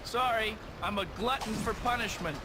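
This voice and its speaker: A man's voice: high voice, moderate pace, lightly acted. An actor playing a cocky young superhero in a video game, flippant and teasing in his delivery. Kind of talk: video game hero acting. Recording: voice under loud game music.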